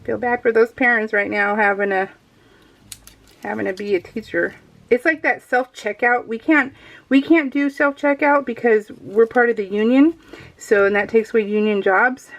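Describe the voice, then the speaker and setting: A woman's voice: on the high side, fairly even intonation, normal speed. A middle-aged American woman chatting casually and informally as she narrates her craft project, in a rambling, self-deprecating way. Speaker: crafting vlogger. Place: indoors at a craft table, voiced live while working.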